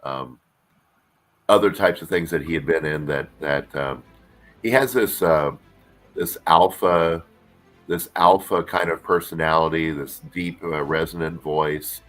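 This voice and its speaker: Man, very deep voice, middle-aged, casual interview guest, fillers and restarts. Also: a little slow, faint music behind. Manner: relaxed, rambling, many fillers and restarts. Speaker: actor, podcast guest.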